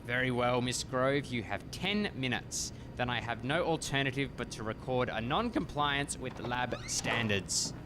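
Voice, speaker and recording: An actor playing an officious, indignant university lab storekeeper in a radio farce, fussy and pompous. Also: male voice, mid-range voice, a little slow, hardly any pauses, background noise, close to an everyday voice. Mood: stern, impatient.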